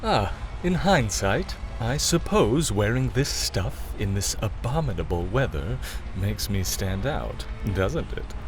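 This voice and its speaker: A man's voice: lowish voice, very animated, slow, music in the background. An actor playing a haughty, wry male elf mage with a polished, sarcastic manner in a fantasy audio drama. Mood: sardonic.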